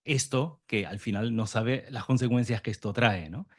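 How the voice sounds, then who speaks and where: A man's voice: medium pitch, normal speed, husky. A man with a Buenos Aires Argentine accent giving reflective, hesitant remarks at a round table, as the representative of mediator psychologists, in a room with a live and online audience. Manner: hesitant, many fillers and restarts, reflective.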